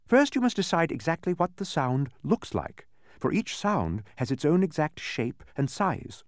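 A man acts out a proud, stubborn storybook lady in a high, swooping voice. She is imperious and impatient, instructing as if she owns the place. The sound is dry and close. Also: very animated, a little slow, no room sound. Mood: instructive, proud. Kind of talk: storybook character voice.

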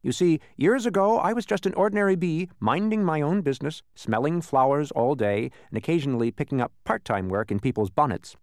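A man voicing a giant, proud and excitable spelling bee in a storybook, fussy and pompous, given to spelling words out. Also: a little high, brisk, hardly any pauses, no room sound, clean studio sound, pitched above the performer's own voice. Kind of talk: animated storybook character reading.

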